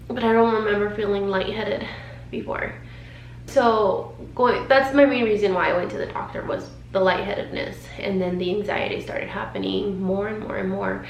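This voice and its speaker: A woman in her thirties talking candidly and emotionally in a personal vlog, rambling a little as she shares her feelings. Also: medium pitch. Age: 30s. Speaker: vlogger. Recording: roomy sound with faint noise.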